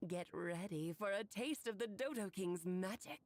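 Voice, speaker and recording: A woman's voice: mid-range voice, lively intonation, normal speed, a little mellow, a little hiss or hum, close to an everyday voice. A woman voicing a playful, motherly grand witch in a video game, theatrical and grandiose in manner. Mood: grandly playful. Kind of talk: video game character acting. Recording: echoey hall reverb, music behind.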